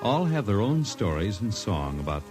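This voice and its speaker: An actor as a portentous American announcer, delivering scripted narration for a 1950s science-fiction radio show. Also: male voice, lowish voice, very animated, normal speed. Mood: grand, portentous. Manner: dramatic, portentous. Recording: vintage radio broadcast with loud music.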